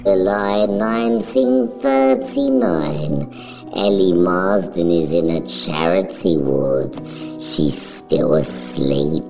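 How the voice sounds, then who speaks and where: A woman giving a solemn, measured, scripted dramatic narration of a story. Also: a bass voice, expressive, vocal fry, mellow. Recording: dull recording, music behind.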